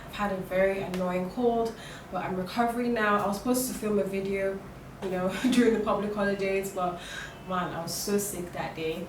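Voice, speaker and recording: A young woman with a croaky voice from a cold, chatting casually as she walks through a makeup tutorial, with lots of fillers and restarts. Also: mid-range voice, fairly even intonation, moderate pace. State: hoarse from a cold. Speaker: beauty vlogger. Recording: roomy, distant, noisy home recording.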